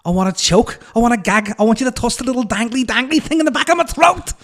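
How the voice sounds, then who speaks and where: A man's voice: very high voice, expressive, fast talker, a bit bright, voice pushed up. A man voicing a brash young woman rapper with a put-on Liverpool accent, in a comic podcast bit. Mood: mocking.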